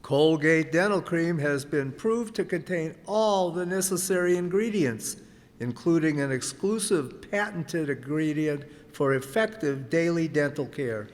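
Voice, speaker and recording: A man's voice: fairly high pitch, measured pace. A man announcing an old-time radio show and its sponsor with formal, emphatic scripted delivery. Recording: very echoey hall stage recording.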